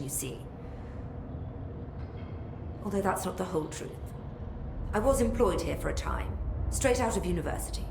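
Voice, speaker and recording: A woman's voice: on the low side, close to an everyday voice. Woman acting a haughty scientist, swooping delivery. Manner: precise, pompous, dryly exasperated. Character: haughty, brusque, fastidious female academic scientist. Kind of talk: video game dialogue acting. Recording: roomy echo with background noise.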